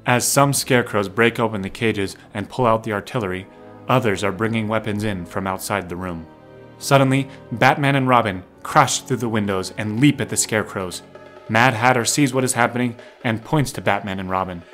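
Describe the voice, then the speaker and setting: A man with a fairly low voice reads scripted drama narration. He sets out the action in the present tense, with faint music underneath. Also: normal speed, fairly bright tone.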